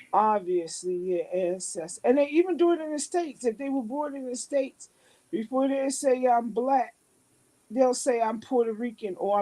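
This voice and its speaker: A woman in a casual discussion, asking a long, deliberate question. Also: medium pitch, warm tone, poor audio.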